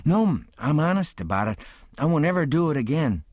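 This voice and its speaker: A man voicing a cheeky young trickster who pleads in a mock-innocent, earnest way, higher than his own voice. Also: sing-song, a little fast, darkish tone, no room sound.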